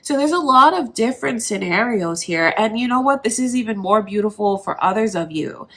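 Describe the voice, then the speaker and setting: A woman's voice: on the high side, animated, brisk, a bit bright. A woman with a stuffy, congested voice from allergies, giving a casual, reassuring tarot reading straight to the viewer. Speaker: tarot reader.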